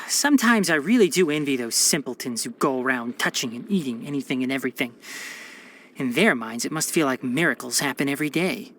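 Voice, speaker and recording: Guy doing a young fox-eared forest ranger for a video game, brainy n dry, bookish wording, pitch moves around a lot. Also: lively intonation, a little fast, fairly bright tone, slight background noise, lightly acted. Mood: wistful. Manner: articulate, bookish, dryly sardonic. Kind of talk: scripted video game character voice lines.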